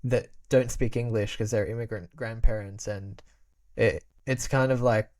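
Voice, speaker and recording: A man's voice: on the low side, fairly even intonation, normal speed, a little mellow. A young man from Australia, a podcast guest who grew up speaking Albanian, chatting casually with many restarts and frequent laughs.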